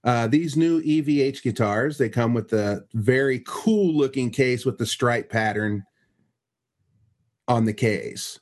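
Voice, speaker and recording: A man's voice: mid-range voice, slow. A man hosting a podcast, chatting casually in a rambling way with frequent restarts. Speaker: podcast host. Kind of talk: casual chat.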